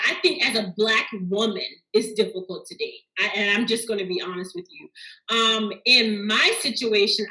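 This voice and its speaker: A woman's voice: a little high, bright, forceful tone. A woman psychologist talking frankly and teasingly as a guest on a panel. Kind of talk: casual panel chat. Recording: echoey room.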